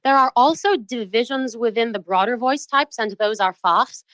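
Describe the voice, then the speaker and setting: A woman, a professional opera singer, explaining her craft in a relaxed podcast interview, precise and articulate. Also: on the high side, normal speed, no room sound. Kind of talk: casual interview talk.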